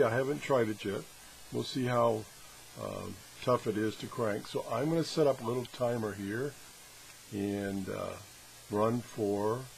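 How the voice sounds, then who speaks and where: Man thinking aloud over clear background noise. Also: lowish voice. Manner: unscripted, self-deprecating, thinking aloud. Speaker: product reviewer. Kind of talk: casual hands-on product test talk. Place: home workshop, indoors. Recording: clearly noisy workshop recording.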